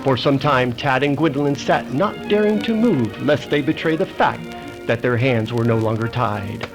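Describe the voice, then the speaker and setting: A man's voice: medium pitch, animated, normal speed, music in the background. A man delivering dramatic scripted narration in the manner of a 1930s radio adventure.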